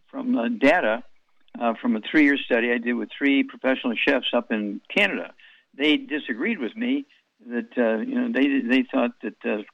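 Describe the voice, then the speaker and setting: A man hosting a radio health call-in show, telling rambling stories and firing brisk questions at callers. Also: medium pitch, dry recording, lo-fi sound.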